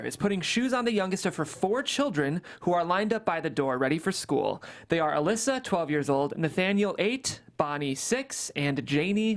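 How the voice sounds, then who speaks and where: A woman hosting a script table read, speaking casually and narrating stage directions. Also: lowish voice.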